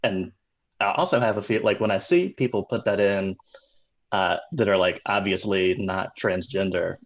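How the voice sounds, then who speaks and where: A man hosting a casual chat on a video call, hesitant and halting. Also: sits low, dull audio. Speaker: host.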